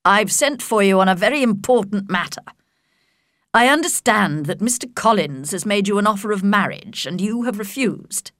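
A woman voicing a calm, wry older father who speaks in a slow, measured, deadpan way. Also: mid-range voice, dry recording, clean studio sound, close to an everyday voice. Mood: dry. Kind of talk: audiobook character voice.

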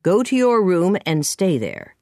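A woman voicing a stern but caring female guardian in a storybook, speaking in a firm, measured manner. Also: lowish voice, sing-song, darkish tone, no room sound, clean studio sound. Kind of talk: storybook character voice.

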